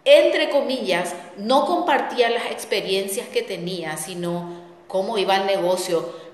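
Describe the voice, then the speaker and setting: Reflective woman in an echoey room. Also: a little high. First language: Spanish. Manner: reflective, warm storytelling with repetitions. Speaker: host, spiritual coach. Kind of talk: personal testimony talk. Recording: echoey room with long reverb.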